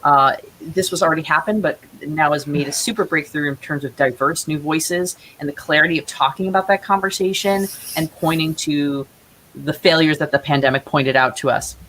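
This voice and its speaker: A woman with a low-pitched voice talks as a guest in a casual remote interview. She is earnest and encouraging, and a light hiss sits on her call audio. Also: moderate pace, fairly bright tone, low-quality recording.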